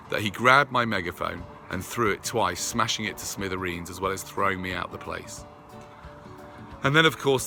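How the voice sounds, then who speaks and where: Low-voiced man reading an update. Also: vocal fry, sharp tone. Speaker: video creator addressing followers. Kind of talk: reading a prepared personal update to camera.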